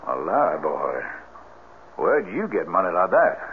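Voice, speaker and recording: Folksy Southern country storekeeper, lively voice. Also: male voice, deep voice, a little slow. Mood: teasing, fatherly. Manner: drawling, rustic, homespun talk. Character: folksy older country storekeeper, kindly but firm. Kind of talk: 1970s radio drama acting. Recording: tinny noisy old radio broadcast.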